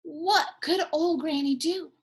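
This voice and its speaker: A woman teacher reading a picture book aloud to young children, expressive and full of playful asides. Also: high voice, slow pace. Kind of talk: children's storytime read-aloud.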